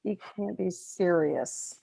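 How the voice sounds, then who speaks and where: A woman's voice: lowish voice, animated, slow pace, gravelly, mellow, slightly rough, full character voice. An actress playing a firm, sardonic mother and wife, emphatic and wry, in a comedy table read.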